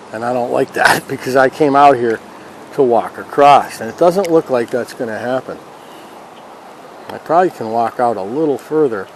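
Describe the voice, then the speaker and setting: A man's voice: medium pitch, rough recording. Folksy man chatting outdoors over river noise. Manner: chatty, folksy, talking while fishing. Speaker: fishing vlog host. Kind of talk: casual outdoor fishing commentary.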